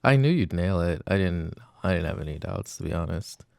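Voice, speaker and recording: Boyfriend role for a male actor: very low, gravelly, slow and halting, loving yet jealous, intimate ASMR roleplay. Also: lively intonation, darkish tone, no room sound, studio quality, full character voice. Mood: tender, teasing. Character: loving but jealous, uneasy young boyfriend.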